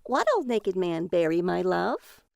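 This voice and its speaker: A woman voicing a sharp-tongued, exasperated but fond wife with a sarcastic, mocking manner in a narrated story. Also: on the low side, animated, a little slow, gravelly, no echo, clean studio sound. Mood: sweetly sarcastic.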